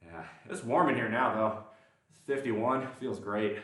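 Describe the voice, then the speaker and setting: A man's voice: mid-range voice, measured pace, fairly bright tone, recorded from a distance. A man with a mild Southern accent, chatting casually and self-deprecatingly with his livestream viewers between sets in a gym. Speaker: livestreaming lifter. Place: indoor gym. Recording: very echoey room, voice off-mic.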